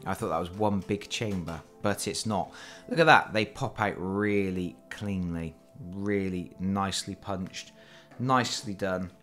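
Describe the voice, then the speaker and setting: A man's voice: deep voice, measured pace, fairly bright tone, soft background music. A man with a cold, hosting a casual, chatty board game unboxing and talking over his hands at a table. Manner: chatty and self-deprecating, with fillers. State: has a cold. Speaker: board game channel host. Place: indoors at a table.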